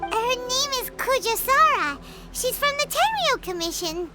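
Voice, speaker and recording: Squeaky little game fairy, super high and sing-songy, slow and stretched out, talks about herself in third person. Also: female voice, sing-song, over music, full character voice. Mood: chatty. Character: tiny floating female fairy companion, chatty and excitable. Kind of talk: video game cutscene dialogue.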